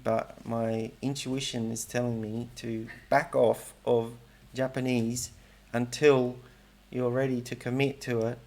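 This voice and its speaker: Gravelly hesitant man, rambling vlog. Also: mid-range voice, little pitch movement, unhurried, darkish tone. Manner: rambling, hesitant, self-correcting. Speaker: language learning vlogger. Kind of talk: casual talking-head vlog.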